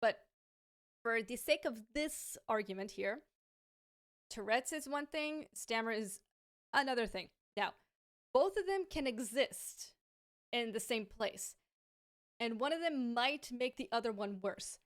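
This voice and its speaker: A woman's voice: a little high, animated, a little slow, long pauses. A woman streamer answering viewer questions in a casual, frank way, stammering often as she repeats sounds and restarts words. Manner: thoughtful and frank, with light laughs.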